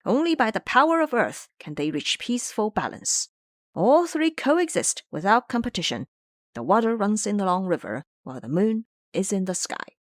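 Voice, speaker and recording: A calm disciple monk voiced by a woman explains things with good humor in an audio drama. The manner is measured, yet the melody is varied. The recording is dry. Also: medium pitch, no room sound, natural voice. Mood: amused explaining.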